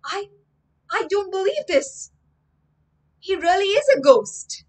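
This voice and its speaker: A woman's voice: big pitch swings, slow, darkish tone, full character voice. Woman voicing a panicky young boy. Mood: startled. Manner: high, pleading, panicky cries. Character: frightened young boy, a guitar player.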